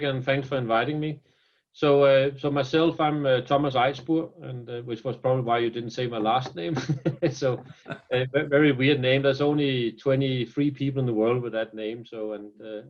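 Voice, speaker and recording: A man's voice: medium pitch, steady tone, moderate pace, highs cut off. A man from Denmark with a Danish accent, a company founder talking earnestly in a business interview and often repeating words as he restarts. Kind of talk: business interview over video call.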